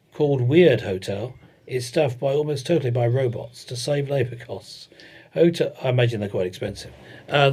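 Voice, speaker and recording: A man's voice: medium pitch, husky. A man co-hosting a casual chat show, joking and reading bits of articles aloud. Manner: jokey, chatty, reads aloud. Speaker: podcast co-host.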